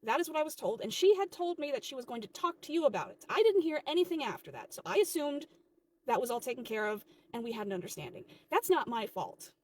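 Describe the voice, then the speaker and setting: Woman acting a high-voiced, exasperated shop worker. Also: lively intonation, quick pace, hardly any pauses. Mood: indignant. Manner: argumentative, incredulous. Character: exasperated, defensive young sandwich shop employee.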